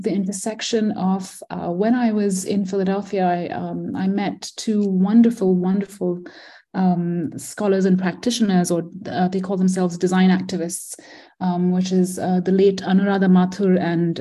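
A middle-aged woman who grew up in Malaysia, speaking as an interview guest, explaining her ideas thoughtfully, with many pauses, ums and self-corrections. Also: fairly low pitch, mellow. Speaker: environmental philosopher and design educator.

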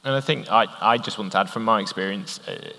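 Man, very deep voice, earnest moderator, measured delivery, hall reverb. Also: sharp tone. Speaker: panel moderator. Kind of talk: live panel discussion. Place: conference hall, on stage.

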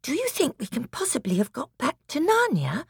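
Woman playing an earnest, high-voiced little schoolgirl. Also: sing-song, normal speed, a little mellow, husky, no echo, clean studio sound. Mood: earnest, wondering. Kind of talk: audiobook character acting.

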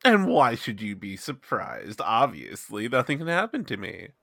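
A man playing a cocky, flirtatious male charmer in a tabletop game, teasing with drawn-out, syrupy endearments. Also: on the low side, wildly swooping pitch, vocal fry, fairly bright tone, no echo, clean studio sound, exaggerated voice. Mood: smug, flirtatious.

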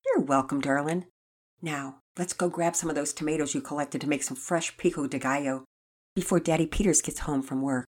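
Woman playing gentle mother, soothing, calm, slightly husky. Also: low-pitched, brisk. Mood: gentle, reassuring.

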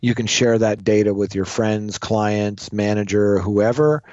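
A man's voice: lowish voice, fairly even intonation, measured pace, a little mellow, dry recording. Dull lo-fi recording of a man advising. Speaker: co-presenter. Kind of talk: informal explanatory talk. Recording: dull lo-fi voice track.

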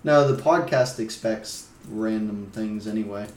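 A man's voice: lowish voice, unhurried, darkish tone, roomy sound, far from the mic. A young man hosting a casual vlog, restless and easily annoyed, chatting loosely with a friend. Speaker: vlog host. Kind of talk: casual chat.

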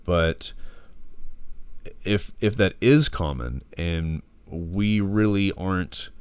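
A man in his thirties with a deep, gravelly, hoarse voice, rambling reflectively in a casual solo podcast. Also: no echo. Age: 30s. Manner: rambling, reflective, many fillers. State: hoarse from a respiratory infection. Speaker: podcast host.

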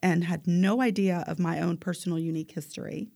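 A woman's voice: fairly low pitch, fairly even intonation, brisk, gravelly, a little mellow, no room sound, clean studio sound. A woman in her forties talking emphatically in a casual health chat, often tagging her points with "right?". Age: 40s. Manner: emphatic, keeps asking "right?".

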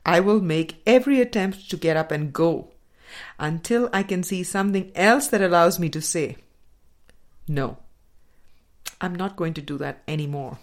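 Slow, deliberate woman narrator. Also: on the low side. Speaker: audiobook reader. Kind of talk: scripted spiritual book narration, reading a dialogue.

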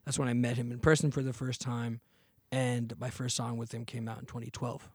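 A man in his thirties, a podcast guest and singer, telling stories in a relaxed, slangy way. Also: medium pitch, fairly quick, slightly rough, no room sound, studio quality. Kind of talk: casual interview chat.

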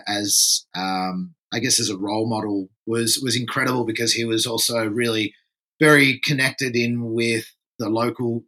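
A man's voice: fairly low pitch, bright, forceful tone, poor audio. A man in his thirties from France, a podcast guest, talking casually and repeating words as he gathers his thoughts. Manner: rambling, repeats words while thinking. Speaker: podcast guest, surf environmentalist.

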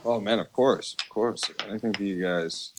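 A man's voice: on the low side, normal speed. An American man from Chicago talking as a podcast guest in an informal, earnest and agreeable way. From Chicago, United States. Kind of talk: casual interview chat.

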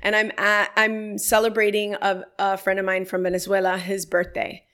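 A woman's voice: mid-range voice, monotone, moderate pace, fairly bright tone. A woman in her thirties, telling stories in a casual interview and livening them up when quoting people. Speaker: podcast guest.

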